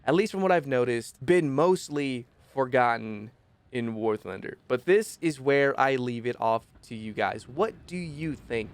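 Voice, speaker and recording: A man's voice: medium pitch, clean tone, no echo. Phlegmy-voiced man, dry close mic. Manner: rambling, self-correcting, opinionated. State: phlegmy, rough voice after illness. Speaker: gaming video host. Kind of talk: casual commentary on gameplay.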